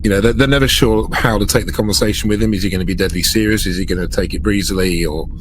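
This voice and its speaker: Casting: male podcast gamer, low voice, casual and halting, faint noise on the recording. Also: low-quality recording. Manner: halting, rephrasing himself. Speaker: player in a tabletop game podcast. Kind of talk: casual improvised role-play chat. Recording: noticeable background noise.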